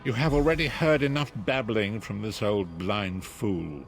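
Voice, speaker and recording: An actor playing a frail, blind old prophet and mystic guide in a fantasy video game, speaking gravely in archaic, oratorical phrases. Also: male voice, on the low side, a little slow, soft background music. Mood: grave, portentous. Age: older. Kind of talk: dramatic fantasy game voice acting.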